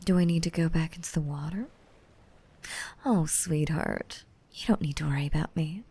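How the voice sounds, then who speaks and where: A woman voicing a gentle, motherly young mermaid in an intimate, softly whispered ASMR roleplay, soothing and tender with pet names. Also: sits low, moderate pace. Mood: soothing, hushed. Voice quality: soft, breathy, often whispering. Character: gentle, motherly five-hundred-year-old mermaid, still young, softly dominant.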